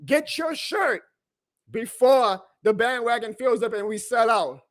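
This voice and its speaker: A man hosting a live sports reaction stream, excitable and emphatic, his voice going hoarse. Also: very high voice, measured pace, dry recording, studio quality. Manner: excitable, emphatic, plugs merch, reads chat aloud. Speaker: sports fan livestream host.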